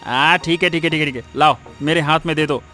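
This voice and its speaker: A man voices a scheming cartoon husband in a high-pitched voice. He is irritable and impatient, scolding with sarcasm, over faint background music. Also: fairly even intonation, fairly quick, sharp tone. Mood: irritated. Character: irritable scheming husband bickering with his wife. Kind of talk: Hindi moral-story cartoon dubbing.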